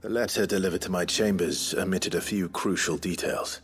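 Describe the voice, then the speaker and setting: An actor playing a weary, resolute young outlaw leader in a dramatic video game, speaking in a measured, grave, understated way. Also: male voice, low-pitched.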